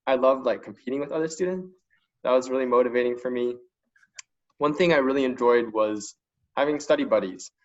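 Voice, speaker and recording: Young man, student panelist, informal and enthusiastic, mid-pitched voice, lo-fi online call sound. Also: fairly quick. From China. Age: young adult. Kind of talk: casual spoken testimonial. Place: online video conference call. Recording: dull lo-fi online call audio.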